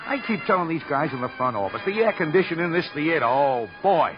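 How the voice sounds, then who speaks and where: A man's voice: a little high, lively intonation, fast, over music, close to an everyday voice. Chummy, nervy little showbiz wisecracker played by a male actor, effusive and chatty, vintage radio drama on a muffled recording. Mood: effusive, grumbling. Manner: effusive, chatty, repeats himself. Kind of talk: 1940s-50s radio drama acting.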